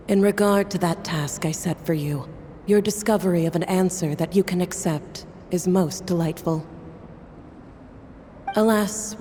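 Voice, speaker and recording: A woman voicing a poised, superior alchemist master in a video game, measured and formal with a teasing condescension. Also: lowish voice, warm tone, slight background noise. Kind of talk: video game voice acting, delivering a message. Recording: long reverb over music.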